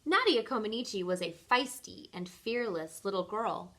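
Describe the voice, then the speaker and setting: A woman reading a children's picture book aloud like a teacher, with expressive, deliberate storytelling emphasis. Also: medium pitch.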